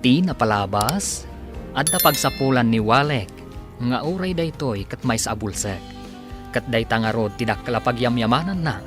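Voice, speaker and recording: A man with a Filipino accent announcing and narrating a radio drama, with drawn-out, theatrical phrasing. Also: mid-range voice, expressive. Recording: prominent music bed.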